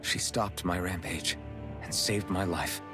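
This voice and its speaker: A man's voice: deep voice, steady tone, measured pace, music in the background. A man playing an earnest, resolute young hero in a fantasy video game cutscene. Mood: remorseful.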